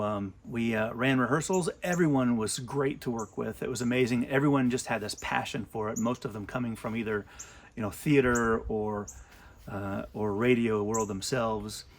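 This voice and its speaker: A man reminisces in a casual documentary interview. His voice is medium in pitch. He is conversational and somewhat hesitant, pausing between thoughts as a director recalling his work. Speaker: drama director.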